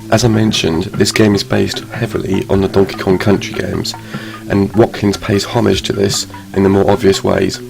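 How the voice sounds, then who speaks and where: A man reading a scripted game review in a measured, even way, over loud background music. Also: low voice, creaky.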